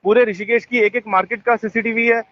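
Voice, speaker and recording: A man's voice: very high voice, fairly even intonation, brisk. A man on a phone call, talking in a rambling, defensive way with many fillers and restarts. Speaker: phone caller. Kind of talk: tense phone conversation. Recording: muffled phone call recording.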